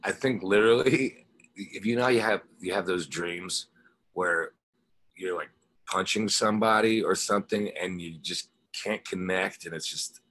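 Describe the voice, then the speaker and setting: A man's voice: low voice, moderate pace, fairly bright tone. A man talking casually and conversationally as an interview guest, a rock musician with a laid-back way of speaking. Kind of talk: casual chat, personal anecdotes.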